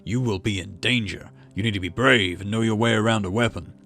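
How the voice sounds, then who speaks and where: A man's voice: on the low side, brisk, fairly bright tone. A man voicing a grave, firm male security chief addressing recruits in a sci-fi visual novel. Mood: stern. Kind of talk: voiced visual novel dialogue.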